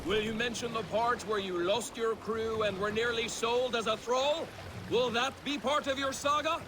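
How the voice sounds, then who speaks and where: A man's voice: extremely high pitch, fairly even intonation, moderate pace, noisy background. An actor playing a blunt, crude but loyal Viking crewman in a video game, sardonic and needling. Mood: scolding. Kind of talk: video game cutscene acting.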